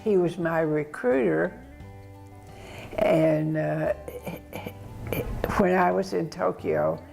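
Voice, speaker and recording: An elderly woman from Tennessee recounts her years in the army in an unhurried, reflective way, clearing her throat now and then. Her voice is low and very gravelly, and her pace is slow. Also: sing-song, a little mellow, faint music behind. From Tennessee, United States. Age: older. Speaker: veteran guest. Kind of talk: recalling wartime memories.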